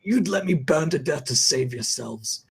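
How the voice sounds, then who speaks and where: Comedy script read: panicky, indignant professor, played by a man, raspy voice, very animated and accusatory. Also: on the high side, measured pace, exaggerated voice. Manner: exclamatory, accusing.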